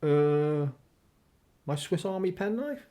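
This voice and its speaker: Mellow, secretive husband. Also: male voice, fairly high pitch, lively intonation, takes it slowly. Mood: evasive. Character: evasive, secretive but caring husband. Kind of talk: radio play acting.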